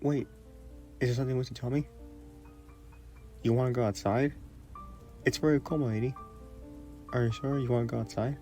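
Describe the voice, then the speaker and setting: A man playing a polite, gentle young prince in a romantic fantasy audio roleplay, speaking formally and a little hesitantly. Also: medium pitch, fairly even intonation, a little fast, long pauses, soft background music, lightly acted. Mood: polite, curious. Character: young prince, courteous, gentle, shyly curious royal.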